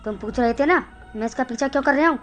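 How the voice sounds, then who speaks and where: A woman playing a grave, insistent male doctor from the city in an animated horror story. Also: high voice, quick pace, faint music behind. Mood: determined, secretive. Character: suspicious, determined male city doctor investigating villain.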